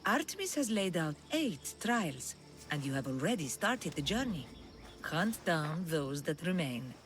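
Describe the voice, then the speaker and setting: A woman playing a sly, commanding huntress in a video game cutscene, measured and teasing, with faint insects behind her. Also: low voice, very animated, faint music behind. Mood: imperious. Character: sly, commanding huntress devoted to a Greek goddess.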